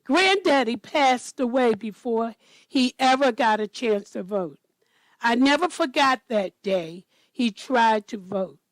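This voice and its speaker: A woman storyteller reading a children's picture book aloud in a deliberate manner. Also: a little high, expressive, no room sound, low-quality recording.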